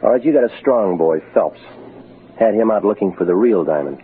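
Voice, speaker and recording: An actor playing a hard-boiled private detective in a 1940s radio drama, clipped, slangy and sardonic. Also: male voice, fairly low pitch, animated, brisk, slight background noise, lightly acted. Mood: tough, sardonic. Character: wisecracking hard-boiled private detective, brash, world-weary man. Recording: muffled 1940s radio broadcast with music.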